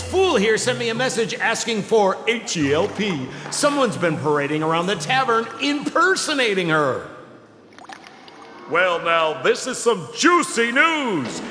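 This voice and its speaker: A man's voice: a little high, sharp tone, heavily acted. A man playing a flamboyant, chummy rogue in a video game, with theatrical, teasing delivery. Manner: theatrical, teasing, refers to himself by name. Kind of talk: video game character acting. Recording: echoey hall reverb, music behind. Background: game music.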